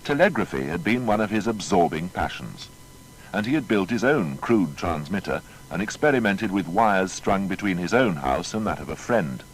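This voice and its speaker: A man narrating a scripted dramatised biography in a formal, measured storytelling manner. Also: sits low, very animated, rough recording.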